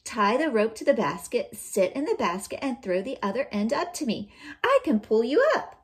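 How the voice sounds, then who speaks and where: A woman's voice: a little high, sing-song, moderate pace. A woman voicing a clever eldest girl in a storybook, sweet and coaxing with pretend innocence as she schemes. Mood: coaxing, scheming.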